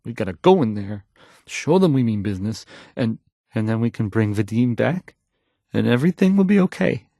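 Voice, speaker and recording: A man's voice: medium pitch, big pitch swings, moderate pace, vocal fry, mellow, no room sound, studio quality. An actor playing a timid, awkward young male radio DJ in a video game, stammering and unsure of himself. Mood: nervous resolve. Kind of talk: video game character acting.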